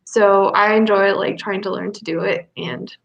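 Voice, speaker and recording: A woman speaking as a panelist in a casual chat, relaxed and trailing off as she finishes. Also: medium pitch, moderate pace, gravelly, muffled recording.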